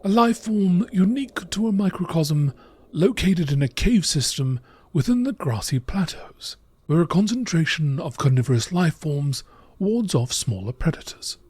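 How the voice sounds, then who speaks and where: A man reading scripted text as a narrator in nature documentary style, with a measured, matter-of-fact, formal delivery. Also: fairly high pitch, expressive, darkish tone, breathy edge, no echo. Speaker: narrator reading out game lore.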